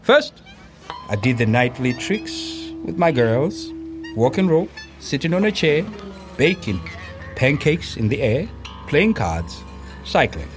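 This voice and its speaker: An actor playing a proud circus troupe director, recounting a high-wire act in an audio play with suspenseful, emphatic pauses. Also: male voice, sing-song, music in the background. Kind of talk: dramatic first-person storytelling in an audio play.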